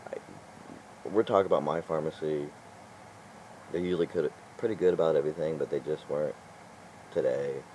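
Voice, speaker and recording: An older man with a low, mellow voice chats loosely to the camera. He talks in an exasperated, meandering way, chuckling and trailing off between thoughts. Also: brisk, pauses often, a little hiss or hum, low-quality recording. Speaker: vlogger. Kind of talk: casual rambling vlog talk.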